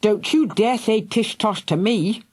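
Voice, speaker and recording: A woman voicing an angry, spiteful little brother who snarls and shouts insults. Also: medium pitch, big pitch swings, moderate pace, dry recording, clean studio sound, voice pushed up. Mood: furious.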